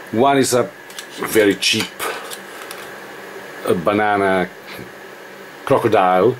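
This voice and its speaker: A man with a lowish voice and non-native English talks slowly through an informal technical demonstration. He is halting, thinking aloud as he goes. There is a clear background noise in the room. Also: rough recording. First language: not English. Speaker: electronics demonstrator. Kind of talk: informal hands-on technical tutorial.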